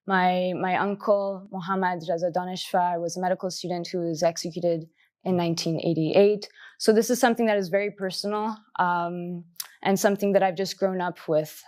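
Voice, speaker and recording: Earnest young woman, lowish voice. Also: fairly even intonation, a little mellow. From Canada. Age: 20s. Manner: earnest, halting, many fillers and restarts. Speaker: panelist. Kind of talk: personal answers in a panel discussion.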